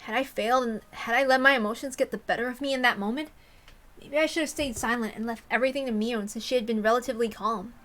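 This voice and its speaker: A woman's voice: on the high side, steady tone, quick pace, a bit bright. A woman reading a story aloud expressively, with casual commentary of her own. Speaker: reader and commentator.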